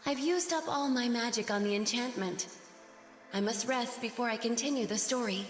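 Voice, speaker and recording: A woman's voice: a little high, moderate pace, natural voice. A woman voicing a mystical enchanted storyteller, solemnly narrating a fairy tale in a video game. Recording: long echoing reverb over music. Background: music.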